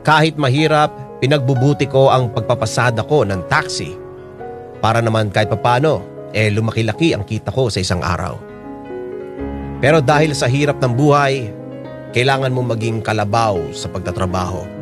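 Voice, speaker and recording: A man's voice: medium pitch, normal speed, lightly acted. A man voicing a fifty-year-old former overseas worker who narrates his own story in a radio drama, with music under his voice. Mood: reflective.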